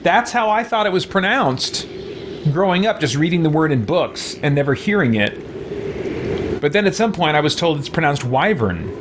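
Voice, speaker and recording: A man in his thirties giving casual gameplay commentary, thinking aloud in a halting way. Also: fairly high pitch, animated, background noise. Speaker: let's play host. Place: small home room with shelves.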